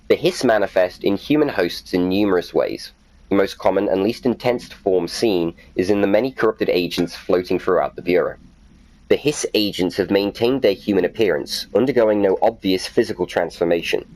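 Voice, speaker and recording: A man's voice: low-pitched, normal speed, darkish tone, low-quality recording. A man hosting a gaming video, chatting casually and reading documents aloud in a playfully self-mocking way. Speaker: gaming video host and reader.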